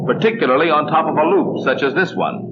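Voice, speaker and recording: A man giving scripted, measured narration for a wartime instructional film, delivered in a precise and authoritative manner. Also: fairly high pitch. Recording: dull restored 1940s film soundtrack with loud hiss.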